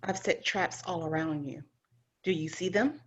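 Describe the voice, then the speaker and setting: A woman's voice: on the low side, moderate pace, husky. A woman playing a tough, hard-as-nails forest hunter in a table read, clipped, cool and confident, in a dull recording. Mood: cocky, instructive. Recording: dull, lo-fi sound.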